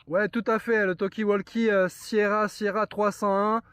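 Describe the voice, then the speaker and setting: A man's voice: high voice, little pitch movement, normal speed, a bit bright. A man chatting casually and explaining things as a radio operator. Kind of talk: casual radio contact chat.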